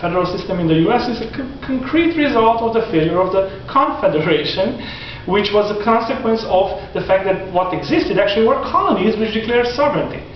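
A man in his forties giving a classroom lecture, animated and gesturing, often restarting his sentences. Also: high-pitched, fairly quick, hardly any pauses, slight background noise. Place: classroom in front of whiteboard. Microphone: far camera. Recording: echoey classroom from far off, dull lo-fi.

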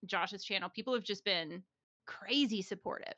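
A woman co-hosting a casual podcast chat, talking fast with lots of fillers and frequent laughs. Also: mid-range voice, pushed and bright, no room sound. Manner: chatty, laughs often, many fillers. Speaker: podcast co-host, streamer.

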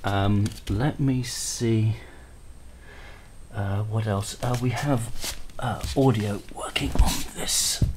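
Male tech demonstrator, unhurried and hesitant, lowish pitch, improvised commentary. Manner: hesitant, halting, thinking aloud. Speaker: presenter of a hardware prototype demo. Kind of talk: casual unscripted tech demo commentary. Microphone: handheld camera microphone. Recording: noisy handheld camera recording with clicks.

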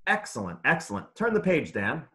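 Lively-pitched man leading a children's read-aloud. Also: normal speed. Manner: instructive, simple phrasing for young children, encouraging. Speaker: author and teacher reading aloud. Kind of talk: interactive children's read-aloud lesson over video call.

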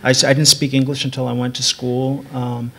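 A man's voice: medium pitch, a little fast. A middle-aged man from San Antonio telling a self-mocking story on a literary panel, speaking into a table mic before an audience. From San Antonio, Texas. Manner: relaxed, self-mocking, laughs at himself. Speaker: panel writer. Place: gallery event room with audience.

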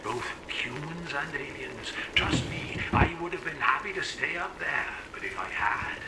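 A zealous male cult preacher in a video game, exhorting his flock with a sweeping, lively delivery. He is heard from a distance through heavy noise. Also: fairly high pitch, unhurried. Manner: rhetorical, exhorting. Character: fervent male cult preacher promising a better world. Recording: echoey, distant, loud background noise.

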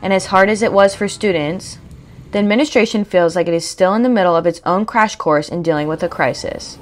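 A woman's voice: fairly low pitch, fairly quick, a little hiss or hum. Young woman narrating a documentary. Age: young adult. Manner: even, read-aloud delivery. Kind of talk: scripted narration.

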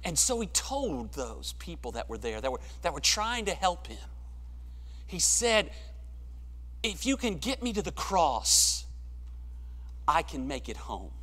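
Impassioned high-voiced preacher in echoing church. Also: male voice, big pitch swings, measured pace, pauses often, a bit bright. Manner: impassioned, rising to emphatic peaks then dropping low. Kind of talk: sermon. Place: church sanctuary, large room. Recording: long church reverb.

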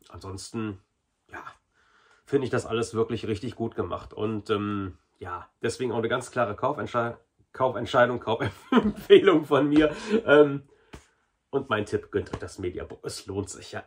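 A man's voice: mid-range voice, breathy edge. A man in his forties giving a casual, chatty review to camera in a small room. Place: small indoor hobby room.

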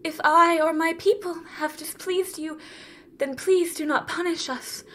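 Inhuman female judge, woman actor: stern, slow, high voice, formal diction, slight background noise. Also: lively intonation, fairly bright tone. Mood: shaken, pleading. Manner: formal, commanding, clipped declarations.

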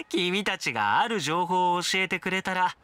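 A man's voice: high-pitched, huge pitch swings, unhurried, noisy background, exaggerated voice. An actor voicing a playful, slyly friendly young man in an anime game, breezy and teasing.

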